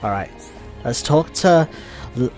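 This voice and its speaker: A man's voice: medium pitch, animated, slow pace, darkish tone. A man hosting a casual video game walkthrough, informal and thinking aloud as he plays. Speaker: let's play host. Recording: game music behind the voice. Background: video game music.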